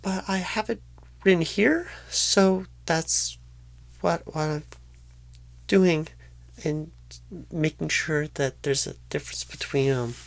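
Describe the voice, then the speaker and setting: Woman acting as a painfully shy, slow-talking nervous recruiter. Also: low voice. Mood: nervous, halting. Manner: bashful, halting, stammering, awkward and unsure. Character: painfully shy, nervous, inexperienced job recruiter on first day. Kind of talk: soft-spoken ASMR roleplay.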